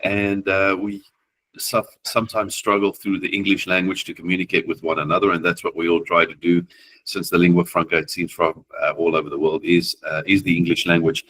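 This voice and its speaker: A man, an attorney whose first language is Afrikaans, hosting a legal webinar and speaking haltingly with many fillers. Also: low-pitched, fairly bright tone, a little hiss or hum, low-quality recording. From South Africa. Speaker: immigration attorney, webinar host. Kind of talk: webinar presentation.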